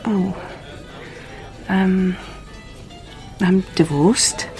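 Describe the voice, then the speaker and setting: An actress playing a wry, curious, softly flirtatious divorcee working as an escort, in an intimate radio drama. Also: female voice, medium pitch, fairly even intonation, drawn-out words, soft background music. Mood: candid, wistful.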